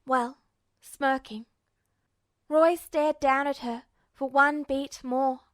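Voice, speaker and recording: A woman narrating fan fiction as an audiobook reader, in a soft, even storytelling way. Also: high voice, takes it slowly, no echo. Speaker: podfic reader.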